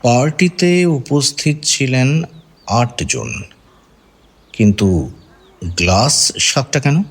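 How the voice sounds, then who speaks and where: An actor playing a composed senior detective who asks probing questions conversationally in an audio crime drama. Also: male voice, mid-range voice, big pitch swings, slow, slightly rough, full character voice. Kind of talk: Bengali radio detective drama acting.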